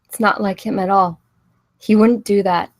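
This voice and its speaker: A woman's voice: mid-range voice, fairly even intonation, moderate pace, dry recording, natural voice. A woman at a table read playing a shaken, doubting young woman in a film drama. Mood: grieving, bewildered. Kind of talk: screenplay table read acting.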